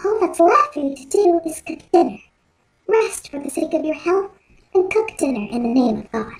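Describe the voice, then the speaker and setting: A woman's voice: high-pitched, animated, normal speed. A woman voicing a small magical doll in a fairy-tale audio drama, soothing and devout, with a singsong, reassuring delivery.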